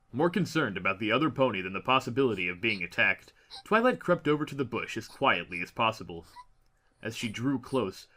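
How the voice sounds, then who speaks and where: A man's voice: medium pitch, pushed and bright. A man narrating a fantasy story as an audiobook reader, in a dramatic scripted style.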